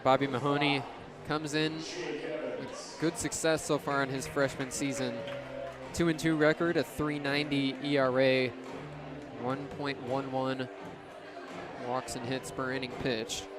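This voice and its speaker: A man's voice: mid-range voice, flat delivery, unhurried, music in the background. A man in his twenties doing live baseball play-by-play on the radio in clipped, descriptive phrases. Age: 20s. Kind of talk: live sports commentary. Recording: crowd and outdoor ballpark noise behind. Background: ballpark crowd.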